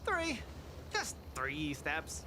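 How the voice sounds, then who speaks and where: An actor playing a cheerful, cocky young fighter in a video game, exuberant, boastful and playful. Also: male voice, on the high side, wildly swooping pitch, extremely slow, background noise, exaggerated voice. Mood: eager, confident. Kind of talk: video game character acting.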